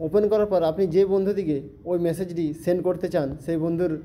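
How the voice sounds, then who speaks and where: A man's voice: sits high, fairly even intonation, fast, warm tone, a little hiss or hum. A man giving a step-by-step spoken app tutorial in an instructional, repetitive way. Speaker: tutorial presenter.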